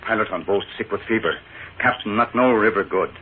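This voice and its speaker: Man playing a terse, low-voiced radio western sidekick. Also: close to an everyday voice. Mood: stoic, curious. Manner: clipped pidgin English, terse, deliberate. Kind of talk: 1940s radio western acting. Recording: tinny, noisy 1940s radio recording.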